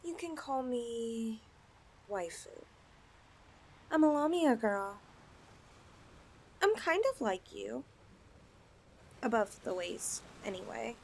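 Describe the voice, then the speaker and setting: A woman's voice: on the high side, a little hiss or hum, heavily acted. A woman playing a possessive, teasing snake girl in an ASMR audio roleplay, with a coy, cooing delivery. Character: possessive, teasing young yandere lamia girl, half snake.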